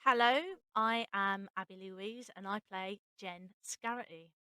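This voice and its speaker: A woman's voice: medium pitch, fairly even intonation, a little slow, bright, forceful tone, clear, resonant tone, dry recording, faint music behind. A woman hosting a casual behind-the-scenes chat, speaking emphatically and repeating herself for emphasis. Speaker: host, writer and actress.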